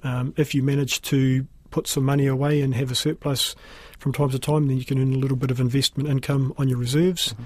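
A man with a New Zealand accent speaks in a measured, explanatory interview style. His voice is slightly husky and stays in a narrow pitch range. The recording is close and dry. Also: little pitch movement, darkish tone, dry recording. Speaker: school principal being interviewed.

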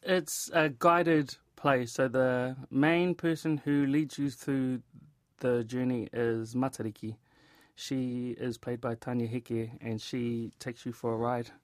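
A man with a New Zealand accent talks casually as an interview guest. His medium-pitched voice has an informal, storytelling manner. The sound is very dry and free of room echo. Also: steady tone, slow pace. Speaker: theatre director and actor being interviewed.

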